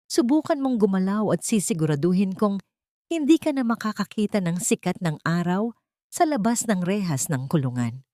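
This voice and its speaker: A woman's voice: mid-range voice, very animated, moderate pace, dark tone, no echo, close to an everyday voice. Woman reading as cold threatening protector guy in a story, very dry recording. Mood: icy, menacing. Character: icy, menacing protective man.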